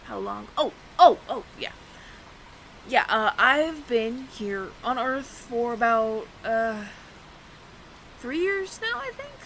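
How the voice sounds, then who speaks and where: A woman's voice: on the high side, very animated, slow, gravelly, fairly bright tone, a little hiss or hum, heavily acted, rough recording. A woman voicing a friendly, flustered alien roommate who passes as human, speaking to the listener in a hesitant, stammering, earnest ramble in an audio roleplay. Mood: musing.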